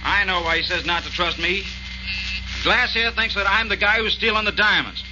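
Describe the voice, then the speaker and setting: A man's voice: high voice, moderate pace, lightly acted. An actor playing a hot-headed young Southern workman, defensive and combative, in 1940s radio drama style. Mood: resentful. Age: young adult. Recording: muffled, hissy old-time radio.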